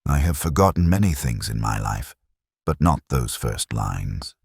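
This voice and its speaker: A middle-aged man with a very deep, slightly husky voice reads a detective mystery. His delivery is measured and brooding, with a wide, expressive rise and fall. The sound is close and dry, suited to literary first-person narration. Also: no echo.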